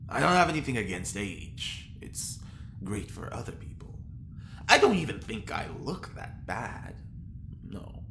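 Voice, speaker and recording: An actor playing a vain, fretful, aging criminal and test subject in an audio drama, speaking dramatically and haltingly. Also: male voice, on the low side, vocal fry, exaggerated voice. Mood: wistful, vain. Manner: dramatic, halting, self-admiring. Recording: noisy, roomy recording.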